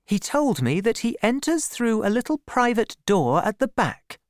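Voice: medium pitch, sing-song, moderate pace, no room sound, studio quality, pitched above the performer's own voice, close to an everyday voice. A reader voicing a proud, fiery young woman farmer with emphatic, emotional delivery in a storybook. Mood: defensive.

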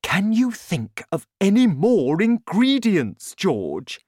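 A woman's voice: mid-range voice, very animated, slow pace, darkish tone, dry recording, studio quality, voice pushed up. A woman voicing a sly, scheming father in a storybook, coaxing and falsely sweet. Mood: disappointed.

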